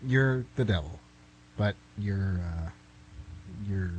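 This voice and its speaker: A man's voice: low voice, takes it slowly, muffled recording. An actor playing a nervous, bewildered writer in his thirties in a comic radio play, hesitant and stammering. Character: nervous, bewildered thirty-three-year-old male writer in hell. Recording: noisy amateur recording with hum.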